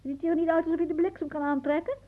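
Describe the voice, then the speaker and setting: An actress playing a whimsical, dreamy elderly aunt in a radio play, musing theatrically and drifting into hushed asides. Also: female voice, very high-pitched, steady tone, rapid-fire. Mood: fussy, insistent.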